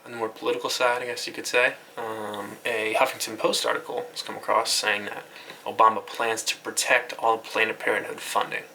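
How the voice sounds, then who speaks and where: A man's voice: lowish voice, little pitch movement, brisk, vocal fry, bright, forceful tone, poor audio. A man hosting a casual web news recap in an informal, offhand way, with some light noise in the recording. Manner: informal, offhand, occasionally swearing. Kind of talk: casual internet news recap, loosely scripted commentary.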